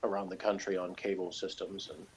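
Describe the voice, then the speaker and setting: A man's voice: sits low, little pitch movement, a little fast, breathy edge, poor audio. A man from Texas chatting casually and unscripted as a co-host, rambling and correcting himself with a dry joking manner. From Texas, United States. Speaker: podcast co-host reviewing a TV episode.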